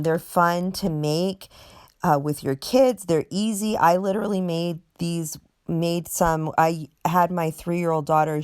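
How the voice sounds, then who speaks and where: A woman's voice: low-pitched, a little mellow, smooth, dry recording, clean studio sound. A young woman vlogger with a scratchy, allergy-worn voice, chatting casually and rambling with lots of ums and likes. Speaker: craft vlogger host.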